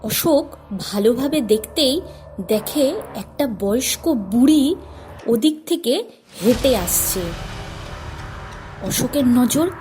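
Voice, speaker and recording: A woman's voice: high voice, expressive, takes it slowly, pauses often, a little mellow. A woman narrator reading a scripted horror story for a cartoon. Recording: music under the voice.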